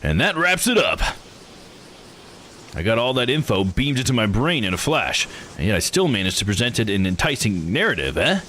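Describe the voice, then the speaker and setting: A man's voice: fairly low pitch, sing-song, fairly quick, a bit bright, slight background noise. A man voicing a self-satisfied, showy storyteller from a visual novel game as they explain old secrets. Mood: smug, eager.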